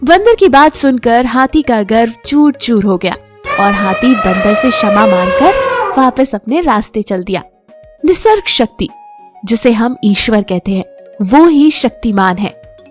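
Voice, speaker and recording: A woman storyteller with a fairly high voice narrates a children's moral tale. She reads from a script, with light music behind her. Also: very animated, measured pace.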